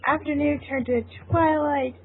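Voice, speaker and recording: Female story narrator, higher register, amateur group reading, thin lo-fi line. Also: fairly even intonation, moderate pace, dry recording. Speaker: narrator reading a fan fiction aloud. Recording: tinny narrow phone-like line, hissy noise.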